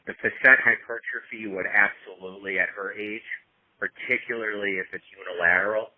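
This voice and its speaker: A man giving casual professional advice on a phone consultation, explaining step by step and checking in as he goes. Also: dry recording. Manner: explanatory, checks in with "right?" and "you know". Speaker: medical consultant advising a colleague. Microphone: telephone line. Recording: tinny narrowband phone call, low audio.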